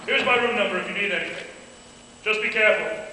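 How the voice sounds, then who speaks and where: A man acting a serious, guarded war correspondent with terse, measured speech in an amateur stage play. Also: high voice, sharp tone. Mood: businesslike. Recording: echoey hall from far off, faint noise.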